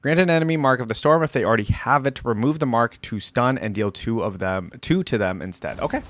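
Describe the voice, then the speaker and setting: A man's voice: mid-range voice, fairly quick, fairly bright tone, dry recording. A young man hosting a reaction video, thinking aloud in a casual, rambling way and often repeating words.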